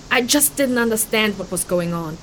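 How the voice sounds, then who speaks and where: An actress playing a guilt-ridden, stubborn young refugee in a fantasy audio drama, speaking in an emotional, halting, earnest way. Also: female voice, mid-range voice, a bit bright, slight background noise, poor audio. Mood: guilty, frustrated.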